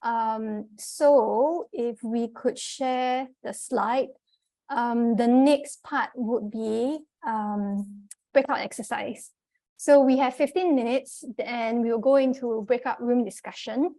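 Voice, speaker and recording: A woman's voice: a little high, slow, warm tone, no echo, low-quality recording. A woman facilitating an online group meeting as its host.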